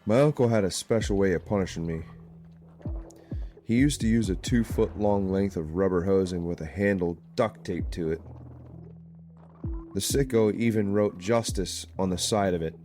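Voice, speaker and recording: A fairly low male voice from an actor as a claustrophobic, haunted small-town deputy in an audio drama. He talks plainly and grumbles, with a gruff, uneasy temper. Faint music plays underneath. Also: normal speed, mellow, natural voice. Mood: grim, confiding.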